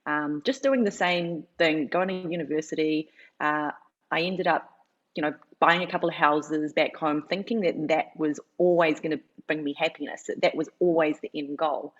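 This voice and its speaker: Chatty low-voiced New woman. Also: steady tone, moderate pace, muffled recording. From New Zealand. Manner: chatty, filler-heavy, lots of "like". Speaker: guest. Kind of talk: casual interview storytelling.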